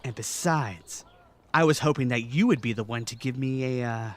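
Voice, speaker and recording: A man's voice: mid-range voice, moderate pace, natural voice. A man playing a modest, playful young knight with a casual, hesitant delivery in a fan audio drama. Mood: playful, bashful.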